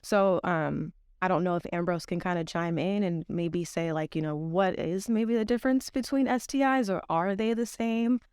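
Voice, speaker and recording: A woman's voice: lowish voice, moderate pace, few pauses, a little mellow, clear, resonant tone, no echo, clean studio sound. A young woman, a health educator, speaking on a podcast in a thoughtful way, with many fillers. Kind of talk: informational podcast talk.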